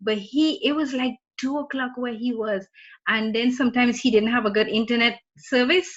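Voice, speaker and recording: A woman's voice: a little high, normal speed. Woman from trinidad chatting with her study group over a muffled video call, laughs a lot. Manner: chatty, laughs often, restarts sentences. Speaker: student in group meeting. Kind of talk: casual video call chat. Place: home in Florida.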